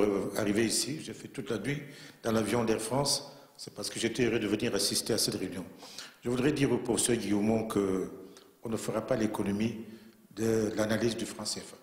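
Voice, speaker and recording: A man giving formal, earnest remarks as a panelist at a conference, from Central Africa. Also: on the low side. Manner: earnest, emphatic, repeats himself for weight. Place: conference room in a bank. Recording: echoey conference hall.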